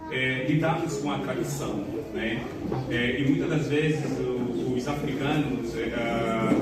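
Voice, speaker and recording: A man from Angola with an Angolan Portuguese accent, speaking at a church community event in a measured way, with many pauses and filler words. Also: medium pitch. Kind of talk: reflective talk to an audience. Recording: echoey hall, distant mic, noisy.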